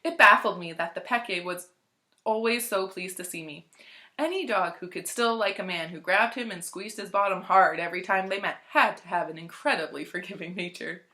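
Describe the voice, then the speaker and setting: Bright-voiced woman reading a story aloud. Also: lowish voice, normal speed. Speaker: library storytime reader. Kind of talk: scripted narration, expressive story read-aloud.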